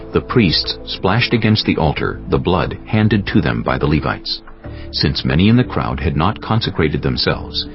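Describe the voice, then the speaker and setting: A man narrating a dramatized scripture audiobook in a measured, solemn storytelling manner. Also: extremely low pitch, vocal fry. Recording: dull sound with music behind. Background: prominent music.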